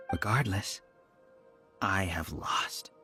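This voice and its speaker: Beaten anime bad guy, proud and bitter, drags his words out, music low behind. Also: sharp tone, exaggerated voice. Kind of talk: anime video game voice acting.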